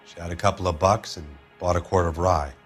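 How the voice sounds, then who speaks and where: A man's voice: low voice, fairly even intonation, brisk, over music, close to an everyday voice. An actor playing a downbeat, world-weary man in a 1940s crime game, talking plainly and with restraint. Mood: matter-of-fact. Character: downbeat, world-weary male friend of a murdered woman.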